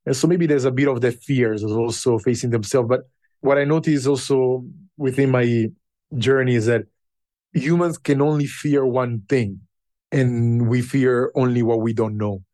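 A man who learned English later in life, a podcast guest telling his story in an unhurried, reflective way with pauses. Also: mid-range voice, warm tone, dry recording. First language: not English. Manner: unhurried and thoughtful, with pauses and fillers.

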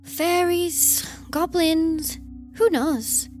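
A woman's voice: very high voice, darkish tone, soft background music, pitched above the performer's own voice, exaggerated voice. A woman voicing a superstitious, chatty cleaning woman with a Geordie accent, humming and drawing out her "mm-hmm"s. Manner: humming, drawn-out "mm-hmm"s, cheerfully folksy.

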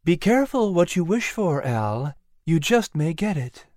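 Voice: mid-range voice, very animated, a little slow, dry recording, studio quality, voice pushed up. A narrator voicing a sweet, impish female lamb spirit. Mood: teasing. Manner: sweet, gently teasing.

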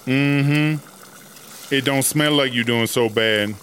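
A man's voice: medium pitch, slow pace, fairly bright tone, slight background noise. A man playing a brash, vain female inmate who bosses a cell block with sassy, slangy talk in a comedy audio drama. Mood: bossy.

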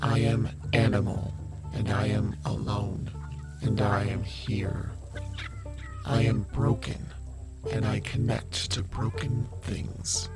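A woman voicing a broken, solitary, defiantly feral outcast in a low, whispery, incantatory audio drama monologue. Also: level tone, slow, exaggerated voice. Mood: bleak, defiant. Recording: loud music behind voice.

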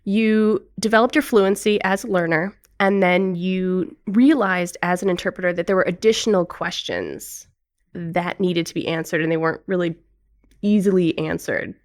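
A woman's voice: lowish voice, normal speed, dry recording. An American woman hosting an interview podcast, introducing her guest and asking questions in an upbeat, enthusiastic way. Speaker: podcast host.

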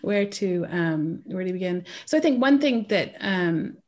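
A woman's voice: fairly low pitch, animated, a little slow, a little mellow. Laughing American woman, pricing expert. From California. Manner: chatty, laughs often between points. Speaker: pricing consultant guest. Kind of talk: casual interview conversation.

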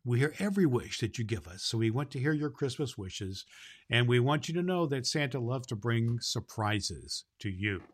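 A man's voice: mid-range voice, expressive, normal speed, natural voice. A man playing a jolly, kindly Santa Claus hosting a children's holiday show, folksy and rambling, repeating phrases for emphasis. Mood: warm, inviting. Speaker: host.

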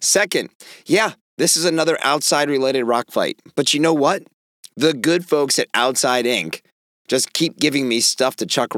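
Hoarse raspy man hosting a podcast. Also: medium pitch, no echo, studio quality. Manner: emphatic and wry, lively rises on key words. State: hoarse from a cold. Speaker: podcast host. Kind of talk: opinionated podcast commentary.

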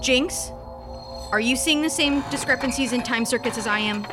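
An actress playing a sharp, anxious scientist on a spaceship crew in a sci-fi audio drama, brisk and technical. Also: female voice, on the high side, over music, close to an everyday voice. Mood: tense.

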